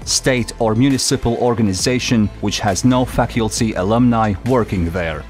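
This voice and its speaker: Male narrator for a university ad, measured formal read over soft music. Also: medium pitch. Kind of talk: promotional voice-over.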